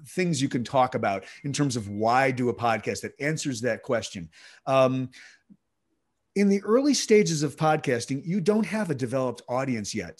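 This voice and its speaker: A middle-aged man talks through podcasting as a guest on a video call, at an average pitch. He explains at length and restarts his sentences often. The sound comes through a small headset mic in a home office. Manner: fluent, explanatory, with frequent restarts and fillers. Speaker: podcast guest, audio producer. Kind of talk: conversational interview over video call.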